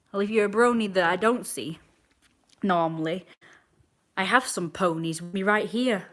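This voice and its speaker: A woman in her twenties chatting casually on a live stream, rambling and answering viewers' comments as they come in. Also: fairly low pitch. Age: 20s.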